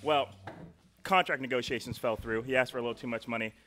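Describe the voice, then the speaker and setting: Bright-voiced young man hosting live. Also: mid-range voice, fairly even intonation, quick pace. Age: young adult. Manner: chatty, rambling. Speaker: host. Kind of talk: casual, jokey live stage hosting. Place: indoor studio stage. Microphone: close stand microphone.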